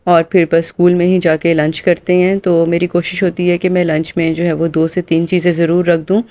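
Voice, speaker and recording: Hoarse, low-voiced mom vlogger from Pakistan. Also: female voice, little pitch movement, brisk, darkish tone. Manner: chatty, loose, full of filler phrases. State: hoarse from a sore throat. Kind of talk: casual voice-over narration of a daily vlog.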